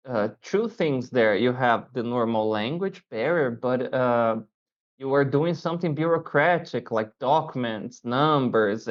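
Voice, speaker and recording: A male podcast host with a mid-range voice, asking questions in a relaxed, halting way with lots of fillers. The audio is dull and lo-fi, like an online call. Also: medium pitch. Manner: halting, many fillers and restarts. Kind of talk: casual interview chat.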